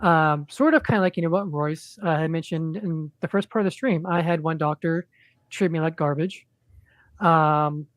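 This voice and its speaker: A man chatting as a guest on a podcast, in a monotone, rambling way with lots of ums and restarts. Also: a little high, normal speed, no room sound. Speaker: podcast guest, indie comic creator. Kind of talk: casual podcast chat.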